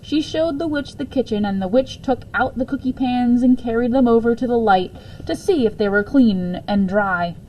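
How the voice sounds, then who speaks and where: A woman with a fairly high voice narrates a children's book. She reads aloud in an expressive storytelling manner. There is faint noise in the background. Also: moderate pace, hardly any pauses, poor audio. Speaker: story reader.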